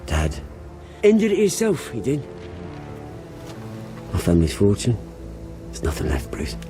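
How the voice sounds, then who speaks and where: Volatile, swooping British scrapper. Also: male voice, lowish voice, huge pitch swings, a little slow, warm tone, soft background music. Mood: bitter, wistful. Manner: theatrical, cocky, slangy. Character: bitter, scrappy fallen rich man, childhood friend turned volatile. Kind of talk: video game voice acting.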